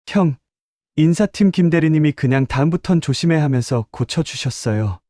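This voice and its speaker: A man's voice: fairly high pitch, expressive, normal speed, warm tone, dry recording, studio quality, pitched above the performer's own voice, natural voice. A male narrator voicing a young male intern who dodges blame with excuses. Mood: defensive. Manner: evasive, making excuses.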